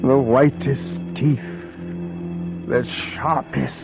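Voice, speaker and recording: An actor playing an earnest, uneasy young male solicitor in an old-time gothic radio play, measured and theatrical. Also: medium pitch, flat delivery, creaky. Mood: entranced. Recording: tinny, narrow vintage radio recording. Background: music.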